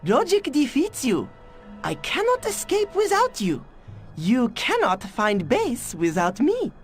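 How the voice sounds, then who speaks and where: An actress playing a proud, clever Japanese scientist in a video game, with a Japanese accent and stilted, formal phrasing. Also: female voice, sits high, wildly swooping pitch, takes it slowly, soft background music, heavily acted. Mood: sly, insistent. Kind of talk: video game cutscene voice acting.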